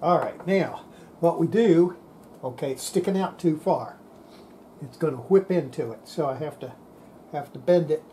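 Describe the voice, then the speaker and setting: An older man giving an unscripted hands-on repair demonstration, halting and muttering to himself as he works at a bench. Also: on the high side, warm tone, slight background noise. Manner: halting, muttering to self while working. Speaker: radio repair hobbyist, presenter. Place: indoor workbench.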